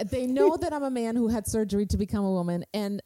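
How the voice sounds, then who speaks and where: A woman's voice: fairly high pitch, animated, fast talker, dark tone. A woman in her forties from Croatia with a Croatian accent, chatting candidly on a podcast and laughing at herself. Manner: candid, laughing, self-deprecating. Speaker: podcast guest, social media worker. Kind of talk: casual podcast chat.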